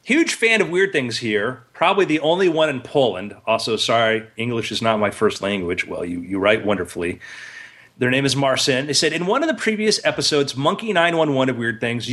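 A man's voice: medium pitch, fairly bright tone. A man in a casual podcast chat, blunt and dryly teasing as a co-host.

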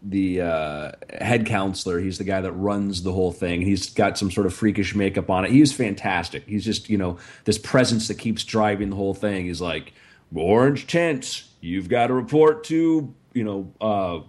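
A man with a hoarse, raspy voice tells an animated story in a relaxed podcast chat. His voice sits fairly low, and he stretches out key phrases for comic effect. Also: moderate pace. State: hoarse from screaming. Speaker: podcast co-host. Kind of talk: casual chat.

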